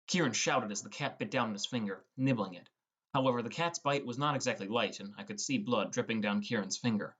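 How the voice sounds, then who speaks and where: A man's voice: on the low side, fairly quick, fairly bright tone, husky. A man reading scripted first-person narration as the narrator of an audiobook.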